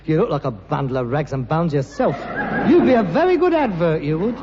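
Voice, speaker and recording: A man's voice: on the high side, animated, fairly quick, natural voice. An actor playing an indignant, sarcastic grown-up son, a working-class rag-and-bone man with a London Cockney accent and mock-pompous turns, in a vintage British sitcom. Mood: resentful. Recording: muffled, hissy old sitcom recording, echoey hall.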